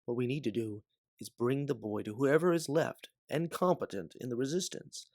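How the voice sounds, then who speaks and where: A man voicing a devout, loyal companion in a sci-fi story, speaking in an earnest, persuasive, measured way. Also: medium pitch, a little mellow, no room sound, studio quality, pitched above the performer's own voice, natural voice. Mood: firm, persuasive. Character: Aleph, devout, loyal, forgiving companion and resistance believer.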